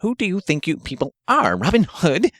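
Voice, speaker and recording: A man's voice: high-pitched, wildly swooping pitch, normal speed, a bit bright, no room sound, studio quality, voice pushed up, full character voice. A man voicing a furious, imperious undead zombie master in an audiobook, scornful and barking.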